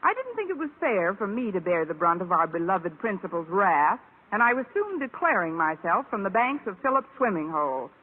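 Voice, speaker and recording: A woman acting a wry, quick-witted schoolteacher with dry mock drama in an old radio sitcom. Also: medium pitch, sing-song. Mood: wry, aggrieved. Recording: tinny, phone-like old radio recording.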